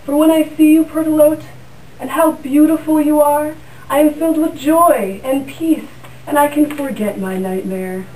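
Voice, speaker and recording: A woman's voice: high-pitched, moderate pace, a little mellow, slight background noise, close to an everyday voice. A young woman voicing a proud, vain male rooster in a class puppet show, with stately old-fashioned phrasing. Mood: tender. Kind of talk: school puppet show acting. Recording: roomy sound from a distant mic.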